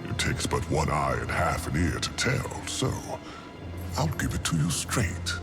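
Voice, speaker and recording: A man voicing a smooth-talking, mocking and ambitious male demon in a video game cutscene, with a theatrical manner. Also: rumbling low, sing-song, a little slow, over music, heavily acted. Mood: sly, boastful. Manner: silver-tongued, theatrical.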